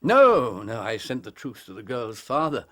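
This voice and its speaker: An actor playing a grave, proud, compassionate older English doctor in a radio drama, speaking in an earnest, formal, emphatic way. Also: male voice, medium pitch, huge pitch swings, moderate pace, fairly bright tone. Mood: agitated, regretful. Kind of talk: British radio drama acting.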